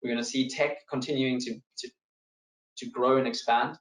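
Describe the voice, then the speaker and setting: A man with a South African accent hosts an online finance seminar and fields questions from viewers. He talks in a relaxed, friendly way, often pausing on fillers and repeating words. His voice sits at an ordinary pitch and carries a noticeable room echo. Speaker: financial adviser hosting a webinar. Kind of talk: presenting a live online seminar, answering audience questions.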